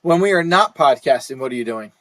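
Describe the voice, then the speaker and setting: A young man co-hosting a casual podcast from home, relaxed, with small stammers and frequent laughs. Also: medium pitch, fast talker, no echo. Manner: relaxed, stammers on first words, laughs often. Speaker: podcast co-host. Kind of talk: casual chat. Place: home room.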